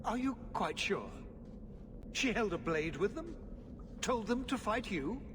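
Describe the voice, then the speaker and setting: A harried middle-aged nobleman who governs a city in a fantasy game. His fairly high voice swings widely, formal and exasperated, over noisy ambience. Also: sing-song, a little slow. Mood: alarmed, incredulous. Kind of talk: dramatic video game acting. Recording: loud ambient noise behind.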